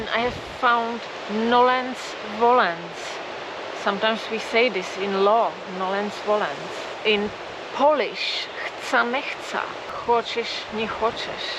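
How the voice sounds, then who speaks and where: A Czech-accented woman speaks at a slow, careful pace, laying out phrases one by one as a teacher. She was filmed outdoors on a tripod camera in the cold. The rush of a nearby stream comes through clearly under her voice. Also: mid-range voice. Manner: slow, thoughtful, unhurried. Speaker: language teacher. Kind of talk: explaining idioms on camera. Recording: outdoor take with loud stream noise.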